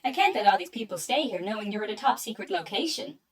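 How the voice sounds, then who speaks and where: A woman's voice: medium pitch, expressive, quick pace, distant mic, lightly acted. A woman playing an over-polite, perky robot messenger in a radio play, formal and officious. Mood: brightly officious. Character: chirpy, officious female robot messenger with forced friendliness.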